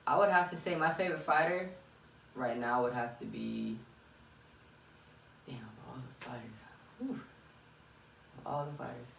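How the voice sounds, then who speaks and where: Young boxer in an echoey, distant recording. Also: male voice, mid-range voice, moderate pace, vocal fry, long pauses, fairly bright tone. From Miami, United States. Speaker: professional boxer being interviewed. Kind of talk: casual interview chat. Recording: echoey room, distant mic, faint noise.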